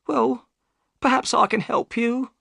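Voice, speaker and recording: High-pitched, tearful, worried wife. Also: male voice, level tone, unhurried, gravelly, bright, forceful tone, breathy edge, no room sound, clean studio sound, exaggerated voice. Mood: wary, upset. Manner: deferential, plaintive. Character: large, upset, worried boatman's wife, tearful.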